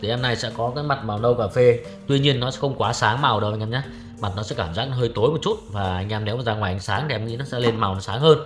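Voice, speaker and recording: A young man reviewing a watch in brisk salesman patter, addressing viewers as friends. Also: mid-range voice, faint music behind. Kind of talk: product review with close-up narration.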